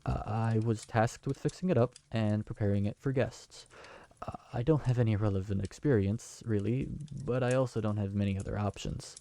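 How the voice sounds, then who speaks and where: A man's voice: fairly low pitch, normal speed, warm tone, dry recording, close to an everyday voice. A man playing a young man who just inherited a magical tavern, speaking in a polite, earnest, slightly flustered way. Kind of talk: fantasy audio drama acting.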